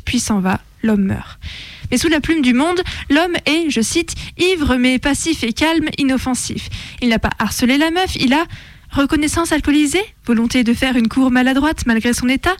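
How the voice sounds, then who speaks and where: A woman's voice: high voice, no room sound. A woman hosting a radio show, reading colloquial, opinionated news commentary in an indignant, sardonic way.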